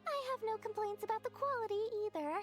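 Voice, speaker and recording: A woman's voice: very high-pitched, animated, quick pace, music in the background. A woman voicing a flamboyant, money-minded young woman in a video game, with an effusive, theatrical manner. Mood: lamenting.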